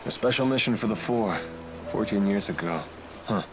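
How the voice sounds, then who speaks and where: A man's voice: fairly low pitch, lively intonation, normal speed, noisy background, lightly acted. A man playing a sly mercenary in a video game, talking in a casual, sardonic, teasing way.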